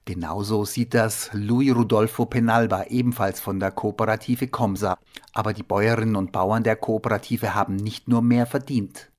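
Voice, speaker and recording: A male radio host reads his scripted introduction in a calm, measured way. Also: lowish voice.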